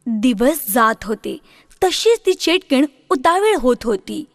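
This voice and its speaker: A woman's voice: sits high, sing-song, slow, no echo, studio quality. A woman reading a scripted fairy tale for children as the storyteller.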